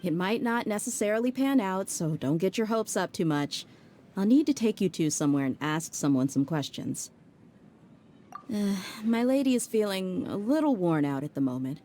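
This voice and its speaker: An actress voicing a tough female mercenary bodyguard who is blunt and casual but soft at heart, in a game cutscene. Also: mid-range voice, expressive, moderate pace, a little hiss or hum, close to an everyday voice. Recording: game score softly behind.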